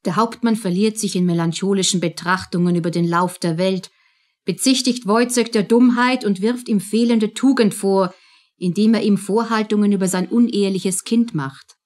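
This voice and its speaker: A woman reading scripted narration in a measured, composed manner. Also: mid-range voice, steady tone, darkish tone.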